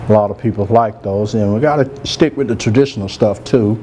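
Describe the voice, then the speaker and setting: A soft-spoken man, chatting relaxed as he hosts a cooking demonstration in a large room. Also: lowish voice, a little fast, slight background noise, poor audio. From Georgia, US. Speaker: cooking show host, chef. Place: large room with a live audience.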